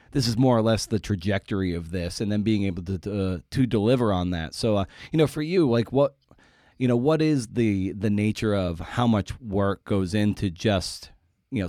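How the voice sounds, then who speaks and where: Low-voiced, halting man interviewing, close and dry. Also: a little mellow, no room sound. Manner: halting, rephrases as he builds a point. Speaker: podcast host, interviewer. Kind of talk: conversational interviewing.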